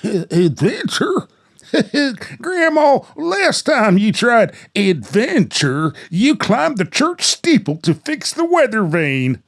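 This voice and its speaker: A man's voice: very high voice, big pitch swings, slow, voice pushed up, exaggerated voice. A man voicing a nervous, incredulous young grandson, exclaiming in a radio play. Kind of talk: hobby radio play acting.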